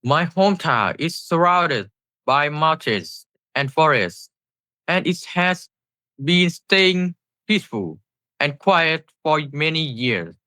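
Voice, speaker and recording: Slow, bright-voiced young Thai man. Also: fairly high pitch, very animated, no echo, rough recording. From Thailand. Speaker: student presenter. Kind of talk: scripted class presentation.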